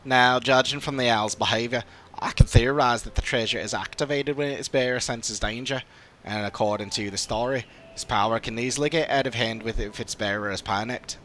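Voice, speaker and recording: A man voicing a courteous, scholarly old father in a fantasy game, speaking in a formal, measured, old-fashioned way. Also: medium pitch, fairly bright tone, natural voice. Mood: thoughtful. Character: courteous old father, scholarly theorist recovering from long illness. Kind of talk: fantasy game dialogue read aloud.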